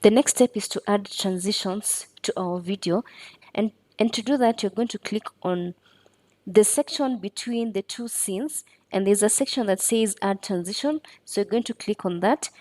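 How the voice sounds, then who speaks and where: Mellow-voiced woman giving a tutorial. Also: medium pitch, normal speed, no echo. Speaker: tutorial presenter. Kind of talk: step-by-step screen tutorial narration.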